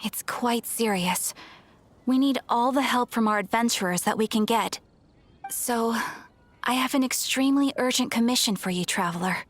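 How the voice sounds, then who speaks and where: A woman's voice: a little high, normal speed, natural voice. A woman playing a polite, earnest guild receptionist in a video game, giving formal, precise briefings. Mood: grave, polite. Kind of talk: scripted video game dialogue. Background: music.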